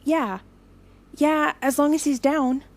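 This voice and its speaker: Actress as young gifted heroine for audio drama: high-pitched, tentative, sincere and unsure of herself. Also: female voice, steady tone. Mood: tentative, earnest. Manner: halting, tentative. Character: unsure young woman with memory-taking powers, earnest.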